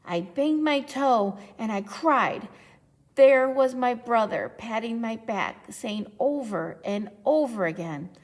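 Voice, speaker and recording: A woman reading a children's picture book aloud with deliberate, expressive phrasing. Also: fairly high pitch. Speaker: storybook reader. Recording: echoey, long reverb.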